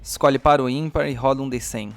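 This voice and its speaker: A man's voice: mid-range voice, fairly even intonation, fairly quick, faint music behind. Brazilian man narrating as game master. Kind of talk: dramatic tabletop game narration.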